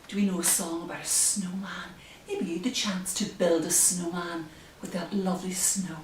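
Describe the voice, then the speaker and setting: A middle-aged woman presenting story time for young children, expressive and animated, in simple phrases addressed to little ones. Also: lowish voice, measured pace, slight background noise. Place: living room, indoors. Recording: roomy, distant camera microphone.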